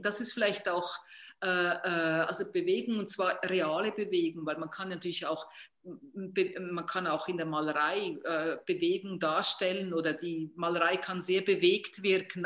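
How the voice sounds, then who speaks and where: A woman from Switzerland with a Swiss accent, talking reflectively as an artist in an interview, haltingly searching for words and repeating phrases. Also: lowish voice, fairly bright tone. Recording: roomy, echoing sound.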